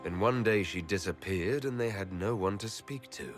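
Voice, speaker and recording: A man playing a resolute elven hero in a fantasy video game, solemn and dry, with measured dramatic delivery. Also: lowish voice, music in the background, natural voice. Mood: reflective.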